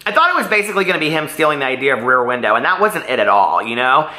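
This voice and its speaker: A man's voice: mid-range voice, very animated, racing, bright, forceful tone. A man talking casually to viewers as a reviewer, in a gushing, rambling and emphatic way. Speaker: book reviewer vlogger. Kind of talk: casual chatty book review to camera. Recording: roomy, echoey room sound.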